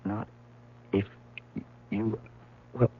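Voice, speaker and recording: An actor playing an earnest, nervous young painter in love in a 1970s radio drama, with a hesitant delivery. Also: male voice, drawn-out words, no echo. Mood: anxious pleading. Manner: hesitant, stammering. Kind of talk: 1970s radio drama acting with first-person narration. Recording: tinny, noisy old radio broadcast.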